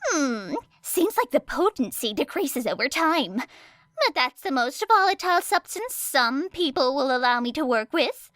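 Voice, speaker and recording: Woman acting a brash, high-pitched teenage girl inventor. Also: sing-song, a little slow, fairly bright tone, no room sound, clean studio sound, full character voice. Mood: argumentative. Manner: boastful, eager.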